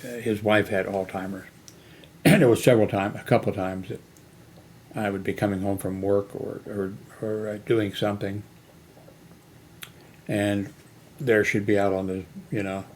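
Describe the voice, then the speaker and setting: A man's voice: fairly low pitch, level tone, a little slow, pauses often, a little mellow, a little hiss or hum. A man in his eighties talking in a rambling personal monologue, full of fillers and restarts. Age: 80s. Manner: meandering, many fillers and restarts. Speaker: channel host.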